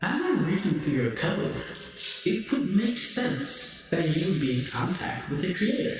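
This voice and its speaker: A man's voice: on the high side, distant mic. Echoing, detached otherworldly being played by a man. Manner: measured, formal, detached. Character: calm, formal otherworldly power source that reads code. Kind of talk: tabletop actual-play acting.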